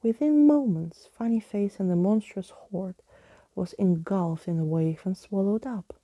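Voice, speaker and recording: A woman reading a storybook as ASMR, in a soft, breathy, gently hushed voice that slips into whispering. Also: mid-range voice, measured pace, dry recording. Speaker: ASMR reader, narrator.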